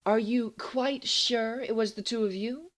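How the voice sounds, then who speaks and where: A woman's voice: on the high side, fairly even intonation, unhurried, close to an everyday voice. Woman reading as a firm, soothing school matron. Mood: probing. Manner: firm, coaxing, disbelieving. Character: stern but soothing, sceptical school matron. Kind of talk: audiobook character voice.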